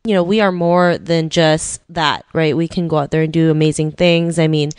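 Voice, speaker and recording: A woman hosts an interview podcast in a slightly low-pitched voice. She speaks conversationally, dropping in "you know" often. The sound is dry, with very little room in it. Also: steady tone, normal speed, a little mellow, smooth, no echo. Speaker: podcast host.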